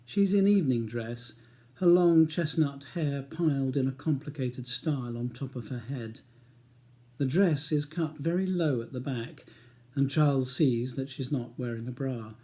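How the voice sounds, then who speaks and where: A man's voice: mid-range voice, measured pace, dark tone. A man with a British accent reading his own novel as a scripted audiobook narration.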